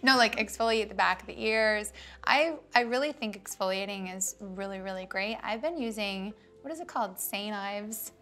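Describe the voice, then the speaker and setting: Chatty woman with a slightly raspy voice. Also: medium pitch, moderate pace, a bit bright. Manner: chatty, giggly, self-mocking. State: slightly raspy from an early start. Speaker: fitness vlog co-host. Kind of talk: casual chat. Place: bright studio set, seated on a couch.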